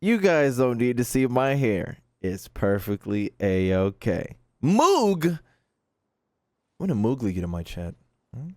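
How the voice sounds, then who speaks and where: A man's voice: medium pitch, sing-song, slow, no echo. A man doing casual live stream commentary on a game, slangy, sweary and joking, close on the mic.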